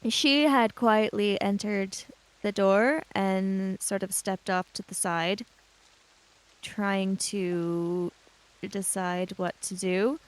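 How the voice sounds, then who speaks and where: A woman narrating her character's actions in a tabletop roleplaying game, in halting, deliberate phrases. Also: medium pitch, fairly even intonation, rough recording.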